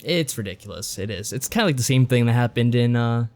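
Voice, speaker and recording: A man's voice: mid-range voice, animated, fast talker, a little mellow. A man co-hosting a podcast, chatting casually as he reviews games. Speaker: podcast co-host. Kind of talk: casual chat reviewing visual novels.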